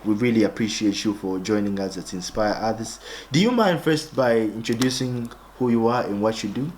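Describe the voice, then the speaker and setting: A man's voice: on the low side, normal speed, darkish tone, a little hiss or hum. A male host who opens the show and introduces his guest with eager, repetitive enthusiasm. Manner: enthusiastic and repetitive, keeps saying "incredible".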